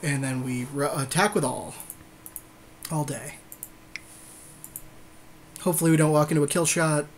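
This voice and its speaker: A man's voice: a little high, normal speed, long pauses, slight background noise. A man co-hosting a gaming podcast with casual, slangy commentary and short conversational replies. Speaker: podcast co-host.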